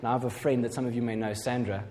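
A man's voice: medium pitch, level tone, rapid-fire, crowd noise. A middle-aged man telling a personal testimony as a pastor, rambling and self-deprecating, with many fillers. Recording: hall reverb.

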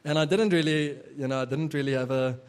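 A man's voice: fairly high pitch, brisk, darkish tone, clear, resonant tone. A young adult man whose first language is Afrikaans, preaching a casual sermon as pastor, with many fillers and restarts.

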